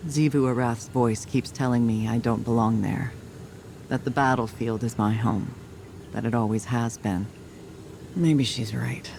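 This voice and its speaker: Haunted soldier, game monologue, weary, reflective, gravelly, soft dark tone, faint ambient noise. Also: male voice, mid-range voice. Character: weary, haunted soldier confiding doubts, resolute about duty. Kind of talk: scripted game dialogue.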